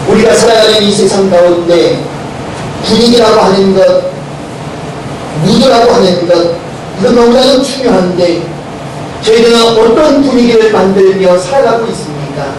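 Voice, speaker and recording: Hoarse pastor guy yelling a sermon, high voice, far off in a super echoey church w/ background noise. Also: lively intonation, normal speed. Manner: preaching loudly with rising intensity, asks the congregation questions. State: hoarse from a cold. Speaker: senior pastor. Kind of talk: sermon and closing prayer. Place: church sanctuary. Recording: very echoey church hall recorded from a distance with noise.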